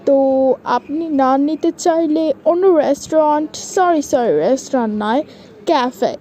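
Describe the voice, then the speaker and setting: A woman's voice: high voice, normal speed, warm tone, slight background noise, lightly acted. A woman voicing a polite but firm cafe server in a cartoon, explaining things formally. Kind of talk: cartoon skit dialogue.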